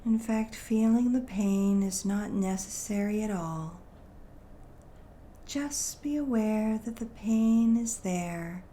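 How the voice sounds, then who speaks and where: Slow, mellow woman guiding a meditation. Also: mid-range voice, a little hiss or hum. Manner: gentle, soothing, deliberate phrasing with long pauses. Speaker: meditation guide. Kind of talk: guided meditation narration.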